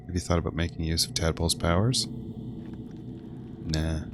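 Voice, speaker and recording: A man's voice: rumbling low, flat delivery, moderate pace. A man giving casual gameplay commentary as he streams, with game sound behind him. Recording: noticeable background noise behind voice.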